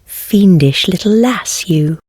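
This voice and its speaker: A woman playing a wry, world-weary heretical witch in a fantasy game, speaking in measured, archaic phrases with gentle teasing. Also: fairly low pitch, animated, no room sound, exaggerated voice. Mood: amused, teasing. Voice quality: husky, breathy. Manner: measured, archaic formal speech, softly teasing. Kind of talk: scripted fantasy game voice acting.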